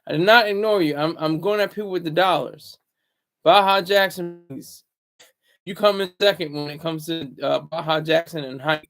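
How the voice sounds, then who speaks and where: A man hosting a casual livestream from a room at home, deliberately keeping his voice low and hushed, often halting and repeating words as he talks to viewers. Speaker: livestream host.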